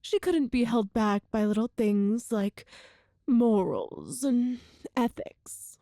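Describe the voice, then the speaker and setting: A woman playing a cruel, ancient sorceress empress in a scripted audio roleplay, speaking deliberately with grand, condescending superiority. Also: a little high, very animated, dark tone, dry recording, clean studio sound, exaggerated voice. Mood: grandly narrating. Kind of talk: scripted dramatic monologue, ASMR roleplay.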